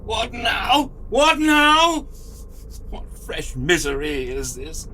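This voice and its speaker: A man's voice: sits high, wildly swooping pitch, slow pace, bright, forceful tone, slight background noise, full character voice. An actor playing a decrepit, wretched old man, terrified and querulous, in an audio drama. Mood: alarmed misery. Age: older. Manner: anguished, querulous.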